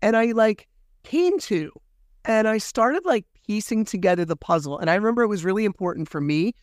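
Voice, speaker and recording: A man hosting a podcast in casual chat, raspy and a bit under the weather, candid and animated, swearing freely. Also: sits high, a little fast, no echo, clean studio sound. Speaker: podcast host.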